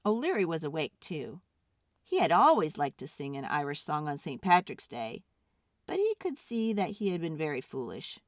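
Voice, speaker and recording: Children's read-aloud narrator: woman, highly varied intonation, expressive and deliberate, dry close recording. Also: dry recording. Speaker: storyteller.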